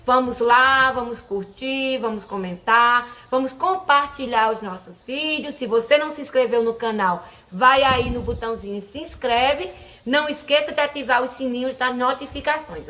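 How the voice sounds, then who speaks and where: A woman's voice: sits high, normal speed, bright, forceful tone, slight background noise. A woman hosting a history channel, explaining in a chatty, informal way with many fillers and restarts. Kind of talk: casual educational talk to camera.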